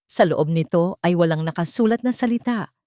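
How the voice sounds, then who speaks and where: Female storyteller, slightly low register, dramatic scripted read, dry studio-like sound. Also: moderate pace, mellow, no room sound. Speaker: story narrator.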